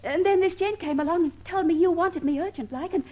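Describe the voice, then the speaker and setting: An actress playing a flustered, deferential maidservant with folksy, fretful speech, on a dull old radio recording. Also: female voice, very high voice, brisk, darkish tone. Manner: fretful, folksy servant phrasing. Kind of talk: old-time radio serial acting.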